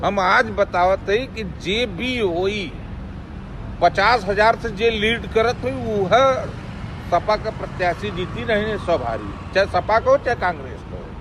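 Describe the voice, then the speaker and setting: A man's voice: high voice, expressive, takes it slowly. A man from eastern Uttar Pradesh with a Bhojpuri-influenced accent, chatting casually and emphatically, repeating himself for stress. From eastern Uttar Pradesh, India. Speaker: college teacher and election duty worker. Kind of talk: casual chat. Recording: noisy outdoor street and vehicle sound.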